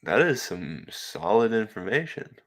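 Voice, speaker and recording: A man's voice: lowish voice, very animated, a little slow. A man in his early twenties chatting casually on a livestream, reading comments aloud and rambling with many fillers and frequent laughs. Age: 20s. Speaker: livestream host reading chat. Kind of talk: casual solo livestream chat.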